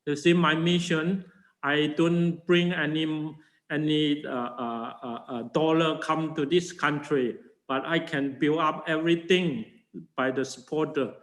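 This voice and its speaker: Older monk, slow, hesitant English, echoey classroom. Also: male voice, on the high side, steady tone. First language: Chinese. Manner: halting non-native English with many fillers and restarts. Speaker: Buddhist monk teaching a class. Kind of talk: informal dharma class talk.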